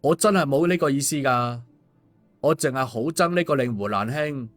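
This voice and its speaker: A man's voice: medium pitch, moderate pace, a bit bright, soft background music, close to an everyday voice. A man voicing a glib, cheeky male schemer in an audiobook, teasing and mock-sincere with exclamations. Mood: mock innocent. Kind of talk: audiobook dialogue.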